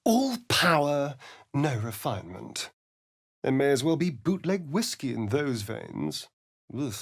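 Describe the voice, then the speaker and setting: A vain vampire spawn for a video game, drawling slowly and purring his lines. His pitch swoops about lively from low murmurs to sudden high mocking lifts, and everything comes out arch and sly. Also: vocal fry, exaggerated voice. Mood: sardonic. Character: vain, sardonic male vampire spawn, ageless young. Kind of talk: game voice acting.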